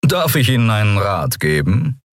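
A man's voice: lowish voice, big pitch swings, moderate pace, dry recording, studio quality, faint music behind, pitched above the performer's own voice. A man voicing a smug, condescending swindler of a businessman in an audiobook. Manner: self-satisfied, condescending. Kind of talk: audiobook character voice.

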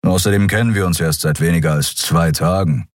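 A man voicing a taciturn male Skria creature who grumbles in a low, growling voice, curt and deadpan. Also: brisk, dry recording, studio quality, close to an everyday voice.

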